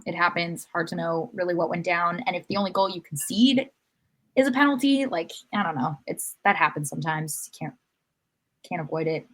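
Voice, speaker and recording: Casual woman with a lowish voice. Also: a little fast, fairly bright tone. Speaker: podcast co-host. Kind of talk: casual sports discussion.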